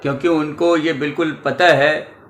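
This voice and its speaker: A man's voice: fairly high pitch, fairly even intonation, normal speed, fairly bright tone. A man giving a spoken press comment as a political spokesman. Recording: roomy echo, faint background noise.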